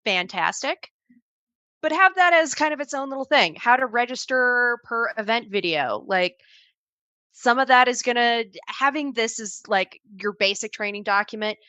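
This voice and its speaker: A woman's voice: a little high, expressive, moderate pace, pushed and bright, no room sound. A woman on a video call giving conversational advice on training assistants, often acting out quoted examples. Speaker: panel guest advising on assistant training.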